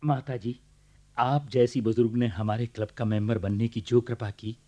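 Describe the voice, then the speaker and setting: Radio play judge acted by a man: courteous, formal, a little flustered, mellow medium-pitched voice. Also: fairly even intonation, normal speed, close to an everyday voice. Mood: deferential, flustered. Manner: formal, deferential, fumbling. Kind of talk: Hindi radio play acting.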